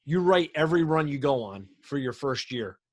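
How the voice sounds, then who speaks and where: A man's voice: medium pitch, animated, moderate pace. A middle-aged man in casual conversation, talking in an informal, plain-spoken way. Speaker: firefighter on a radio show. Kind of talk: casual chat.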